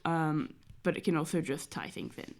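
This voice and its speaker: A woman's voice: sits low, steady tone, normal speed. A young woman streamer, nasal and stuffy from a cold, chatting casually and rambling with many fillers as she draws. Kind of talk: casual chat while drawing.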